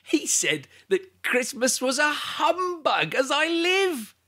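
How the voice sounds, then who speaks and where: A cheerful young gentleman from a Victorian storybook, acted out by a male narrator. His pitch leaps about in a very animated way. He is hearty and good-natured, full of exclamation. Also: slow, exaggerated voice. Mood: amused exclaiming. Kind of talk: Victorian storybook character dialogue.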